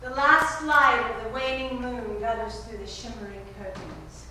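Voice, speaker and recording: Grand melodramatic actress, echoey and high. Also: female voice, normal speed, pushed and bright. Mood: tragic, languid. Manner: melodramatic, declamatory. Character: theatrical, vain, emotional stage actress, gushing grandly. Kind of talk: broad theatrical comedy acting. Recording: distant, very echoey, noisy stage recording.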